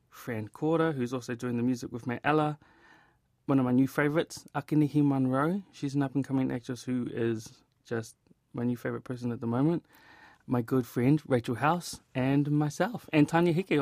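A man's voice: medium pitch, normal speed, no room sound, studio quality. A man with a New Zealand accent chatting informally in an interview about his creative work, often retelling with quoted asides. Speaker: theatre director and actor being interviewed. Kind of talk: casual interview chat.

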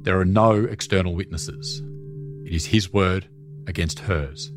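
A man narrating a scripted documentary podcast in a measured, serious way. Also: sits low, steady tone.

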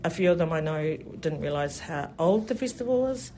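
New festival organiser, mid-pitched and enthusiastic, a rambling interview guest. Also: animated, moderate pace, darkish tone, soft background music. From New Zealand. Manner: enthusiastic, rambling, many "you know" fillers. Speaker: festival event specialist. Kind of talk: radio interview.

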